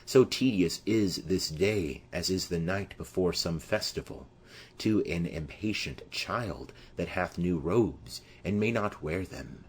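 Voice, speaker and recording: A man's voice: low voice, slow, a little hiss or hum. A man voicing an impassioned, lovesick young noblewoman in declamatory Shakespearean verse for an audiobook. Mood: impatient. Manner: declamatory, poetic.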